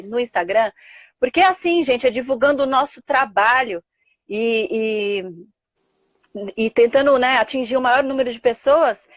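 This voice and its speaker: A woman's voice: on the high side, dry recording. A young adult woman with a Brazilian Portuguese accent, hosting a live interview and thanking her guest effusively. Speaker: host.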